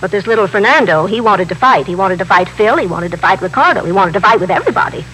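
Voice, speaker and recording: An actress in a 1950s radio drama playing a talkative, excitable middle-aged widow who runs a candy store, repeating herself in simple, slightly broken grammar. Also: female voice, mid-range voice, fast talker, natural voice. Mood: animated, insistent. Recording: noisy vintage radio broadcast recording.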